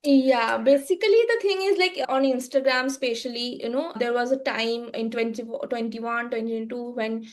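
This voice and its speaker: A woman, a guest on a podcast interview, telling her story reflectively with frequent fillers and halting restarts. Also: a little high, fairly even intonation. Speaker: guest, social media agency founder.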